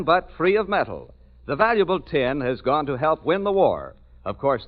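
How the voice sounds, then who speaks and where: A man with an American accent reading a scripted commercial as a 1940s radio announcer. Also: on the high side. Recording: dull 1940s radio recording.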